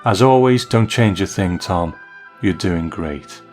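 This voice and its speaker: Male podcast host, lower-pitched voice, reading out written listener feedback over music. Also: slow pace, darkish tone. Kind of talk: reading listener letters aloud.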